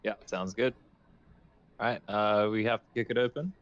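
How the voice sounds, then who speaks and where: Low-voiced man on a dull microphone. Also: steady tone, moderate pace, slight background noise. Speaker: player in a tabletop role-playing game. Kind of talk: casual game-table chat.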